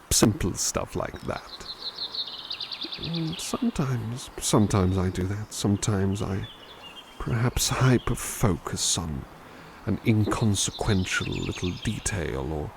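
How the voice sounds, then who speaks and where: A man playing a gentle, kindly butler who softly reads poems aloud in an ASMR roleplay and muses thoughtfully on each one. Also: sits low, creaky, noisy background, full character voice. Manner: soft, musing, thoughtful asides between poems. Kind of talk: ASMR roleplay poetry reading.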